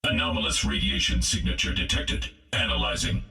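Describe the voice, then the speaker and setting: A man's voice: extremely low pitch, fairly even intonation, a little fast, bright, forceful tone, reverberant, far from the mic, soft background music, exaggerated voice. A man voicing a cold, analytical robot in a video game, reporting in clinical phrases. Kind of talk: video game character dialogue.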